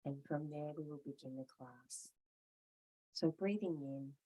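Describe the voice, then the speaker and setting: A woman teaching yoga and guided meditation, calm and measured, leaving pauses between short phrases. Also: low voice, breathy edge, highs cut off.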